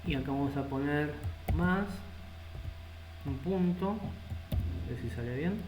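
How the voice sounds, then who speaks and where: A man's voice: fairly high pitch, lively intonation, takes it slowly. A man with a Rioplatense Spanish accent teaching a live coding lesson, thinking aloud as he types. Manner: thinks aloud while typing code. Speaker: programming instructor. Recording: echoey room with loud background noise.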